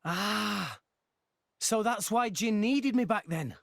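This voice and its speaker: A man's voice: high voice, slow pace, creaky, fairly bright tone, dry recording, exaggerated voice. An actor playing an earnest, determined teenage boy adventurer in a video game cutscene. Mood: surprised, wondering.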